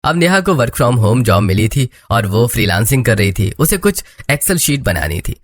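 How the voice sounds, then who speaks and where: A man's voice: mid-range voice, big pitch swings, measured pace, breathy edge. A man narrating a scripted cartoon story as its storyteller.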